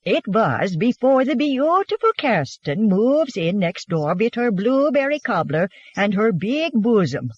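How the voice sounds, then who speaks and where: A narrator voicing a fiery, sharp-tongued young wife in audiobook dialogue, wounded and defiant, spitting out taunts. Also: mid-range voice, lively intonation, measured pace, breathy edge, dry recording, lo-fi sound. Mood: mocking. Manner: spitting, taunting, shouting back.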